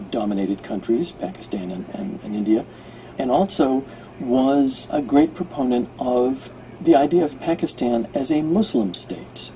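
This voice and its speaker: An American man explaining informally as an interviewed radio producer, halting as he thinks aloud. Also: mid-range voice, dark tone, a little hiss or hum, low-quality recording. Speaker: radio producer and guest commentator. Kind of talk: unscripted explanatory interview talk.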